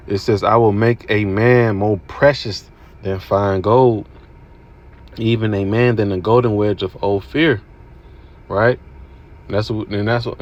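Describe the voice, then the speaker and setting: A man's voice: on the low side, highs cut off. A man giving casual religious commentary over video clips, laid-back and repetitive, with many fillers. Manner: laid-back, repetitive with "you know what I'm saying".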